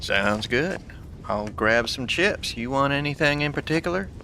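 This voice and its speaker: A man's voice: medium pitch, animated, normal speed, bright, forceful tone, a little hiss or hum. A man playing an easygoing survivor scavenging supplies in an audio drama. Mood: casual.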